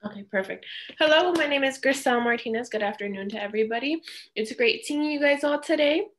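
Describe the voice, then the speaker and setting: A woman student opening her presentation in a friendly, brisk way over a video call. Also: high voice. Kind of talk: online presentation.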